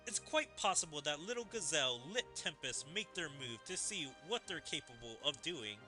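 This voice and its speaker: A man's voice: fairly high pitch, lively intonation, normal speed, over music, close to an everyday voice. A man voicing a shrewd female emperor from a game, musing in a condescending manner. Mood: musing, scheming.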